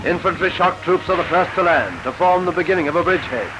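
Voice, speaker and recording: A man's voice: sits high, animated, fast talker, low-quality recording. A British man reading scripted wartime newsreel commentary in a rousing, declamatory manner, on a vintage 1940s film soundtrack. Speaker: newsreel narrator. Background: loud newsreel music.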